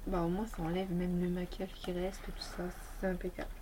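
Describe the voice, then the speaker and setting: A woman's voice: lowish voice, monotone, a little fast, warm tone, slight background noise. A woman beauty vlogger with a slight head cold, chatting casually and rambling through a review of her toiletries. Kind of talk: casual product review chat.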